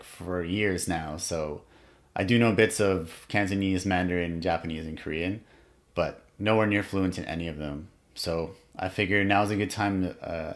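A young man in his twenties hosting a solo podcast, talking in a reflective, earnest way with pauses as he thinks aloud, in a small room at home. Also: low voice. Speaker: podcast host. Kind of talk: solo motivational podcast monologue.

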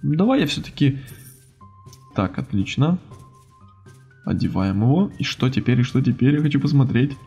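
A man giving casual, animated let's-play commentary on a video game, reacting and muttering to himself as host. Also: medium pitch, normal speed, creaky, long pauses, husky, muffled recording, faint music behind. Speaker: gaming channel host.

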